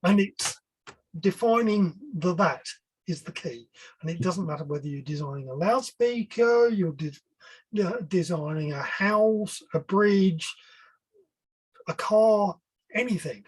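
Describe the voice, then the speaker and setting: An older man speaking informally as an engineer guest on a webinar, halting and often rephrasing himself. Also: sits high, slightly rough, low-quality recording. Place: online video call.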